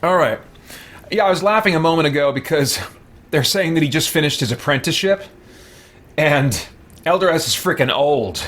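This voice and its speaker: A man's voice: on the high side, animated, normal speed, pauses often, a bit bright. A man hosting a let's-play stream, chatting informally and thinking aloud. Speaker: gaming streamer, host. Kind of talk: casual let's-play chat.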